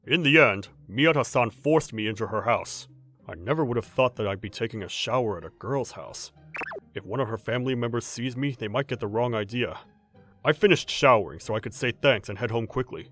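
A man's voice: mid-range voice, lively intonation, a little fast, fairly bright tone, soft background music, natural voice. Man playing a flustered, earnest teenage schoolboy. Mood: musing. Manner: earnest, stammering when flustered. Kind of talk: manga dub voice acting, scripted.

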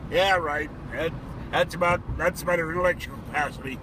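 A man's voice: on the high side, steady tone, brisk. A man hosting a casual vlog from inside a car, talking in a rambling, joking way and laughing often. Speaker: vlog host. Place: inside a car on a traffic-jammed bridge. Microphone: phone camera held in the car. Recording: loud road noise from traffic.

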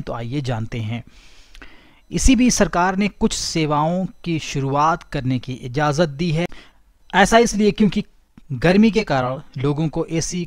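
A man's voice: a little high, dry recording, studio quality. A man hosting his own tech help channel, reading news text aloud and then restating it in simpler words. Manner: reads aloud then rephrases in plain words. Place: voice-over over a screen recording of a document.

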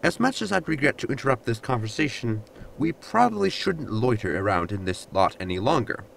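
A man's voice: medium pitch, very animated, a little fast, vocal fry, fairly bright tone, slight background noise, exaggerated voice. A man playing an earnest, pedantic android engineer in a sci-fi sitcom audio drama, formal and lecturing.

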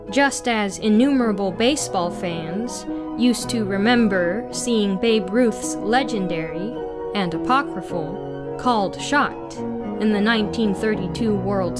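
A young woman reading a written article aloud as narration, in a deliberate, formal manner. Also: medium pitch. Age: young adult. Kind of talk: scripted read-aloud narration. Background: music.

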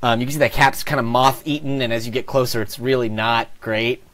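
A man running a tabletop game as game master, describing the scene in a measured way on a video call. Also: medium pitch, little pitch movement, fairly bright tone, a little hiss or hum. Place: home room on a video call.

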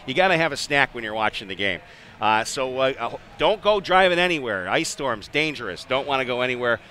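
Bright-voiced American hockey play-by-play man. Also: mid-range voice, very animated, a little fast. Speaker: play-by-play sports announcer. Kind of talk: live ice hockey commentary. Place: rink-side in an indoor ice arena. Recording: clear arena ambience noise behind the voice.

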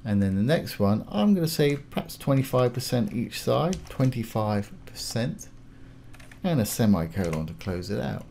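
Guy with a relaxed dark voice walking thru software steps, some background noise and typing. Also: medium pitch, normal speed, vocal fry. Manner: informal, spells out terms, talks through each action. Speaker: tutorial host. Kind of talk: casual step-by-step software tutorial.

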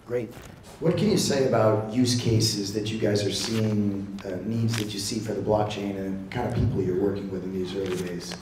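A man's voice: lowish voice, steady tone, normal speed, a little mellow, slight background noise. A middle-aged man interviewing on stage, asking casual questions with fillers, on a handheld mic in a large hall. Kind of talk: fireside chat interview. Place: large hall with seated audience. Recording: roomy hall sound.

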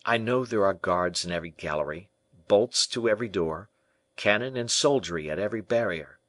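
Man playing a proud, lively young captive prince. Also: on the low side, a little fast, no room sound. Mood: sceptical, challenging. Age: young adult. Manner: formal archaic speech, rhetorical questions, impassioned. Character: proud, embittered young captive prince, hot-blooded and despairing.

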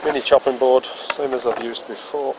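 A man's voice: mid-range voice, steady tone, normal speed. A British man in his forties casually explaining outdoor camp cooking step by step, recorded outdoors in woodland on a camera mic. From United Kingdom. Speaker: outdoor vlogger. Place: woodland picnic table outdoors. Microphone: camera mic, handheld then on a tripod. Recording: outdoor camera audio with clear background noise. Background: open outdoor air in woodland.

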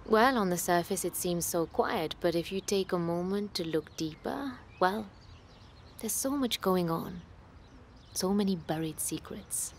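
Radio soap tenant played by a woman: lowish voice, measured, polite, watchful, faint ambience behind. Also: slight background noise, lightly acted. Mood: probing. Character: polite, probing female tenant with a watchful air.

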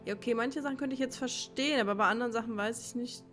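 A woman's voice: fairly high pitch, quick pace, fairly bright tone. A woman giving casual let's play commentary, thinking aloud and muttering to herself in a puzzled way as she works things out. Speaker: let's player, channel host. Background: game music.

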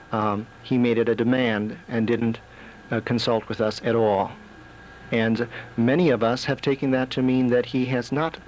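A young American man giving earnest, deliberate answers in a news interview. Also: medium pitch, slight background noise, low-quality recording. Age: young adult. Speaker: student interviewee. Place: indoors in a small room. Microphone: handheld reporter's microphone.

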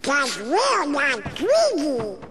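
A good-natured, slow-witted cartoon dog, with a folksy American accent. The voice is high and bounces all over as it bumbles along slowly. Faint noise sits behind it. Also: male voice, huge pitch swings, pushed and bright, full character voice. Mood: puzzled, gentle. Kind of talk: cartoon game character acting.

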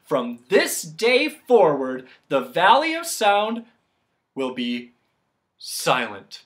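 Storybook sovereign, acted by a narrator: imperious, emphatic, loud, with sweeping pitch. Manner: loud, emphatic, drawn-out proclaiming. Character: stern, imperious ruler issuing a royal decree.

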